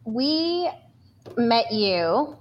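A woman's voice: a little high, sing-song, very slow, highs cut off, slight background noise. A woman co-hosting a podcast who talks in an effusive, rambling way, often restarting her sentences. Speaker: podcast co-host. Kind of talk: podcast interview.

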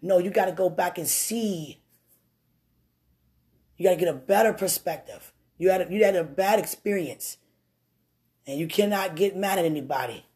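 Woman preacher, deep voice, animated testimony style, loud then hushed. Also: moderate pace, pauses often.

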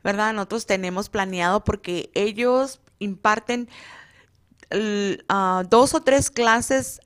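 A woman, a beauty school instructor whose first language is Spanish, talking on a radio interview and often pausing to search for words. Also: medium pitch, clean tone. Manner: hesitant, many fillers, searches for words.

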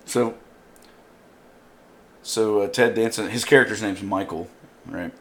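Rambling low-voiced man recapping a show. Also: measured pace. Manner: rambling, many fillers and restarts. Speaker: show commentator. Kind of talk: casual chat recapping a show.

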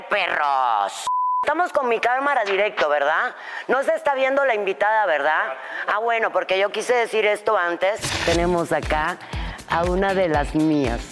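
A middle-aged woman from Cuba hosting a casual podcast in a studio, exuberant and teasing, cheering loudly. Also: medium pitch. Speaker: podcast host.

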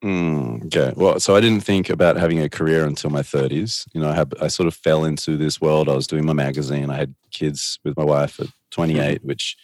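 A man's voice: extremely low pitch, fairly even intonation, a little fast, hardly any pauses, a little mellow, dry recording. A middle-aged man, a guest, answering in a thoughtful, rambling way with a soothing voice and dramatic bursts. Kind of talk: reflective conversational answers.

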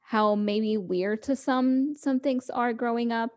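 A woman's voice: fairly high pitch, fairly even intonation, slow, darkish tone. A young woman co-hosting a podcast, chatting casually and laughing often as she quotes people. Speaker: podcast co-host. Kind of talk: casual chat.

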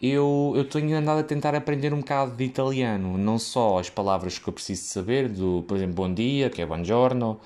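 A man's voice: medium pitch, brisk, few pauses, a little mellow. A young man with a European Portuguese accent tells a casual, rambling story about his work as a waiter, full of fillers, restarts and side tracks.

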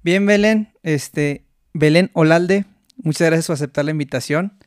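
A man's voice: fairly high pitch, normal speed, clear, resonant tone, dry recording, clean studio sound. A man with a Mexican accent hosting a podcast interview in casual chat, laughing often and restarting his sentences. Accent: Mexican Spanish. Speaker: podcast host.

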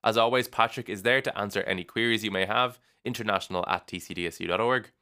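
Young guy reading out student news, fairly low voice but really bright and forceful, upbeat, jumps up at each new item. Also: fast talker, clean tone. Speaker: student union announcer. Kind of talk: scripted weekly bulletin reading.

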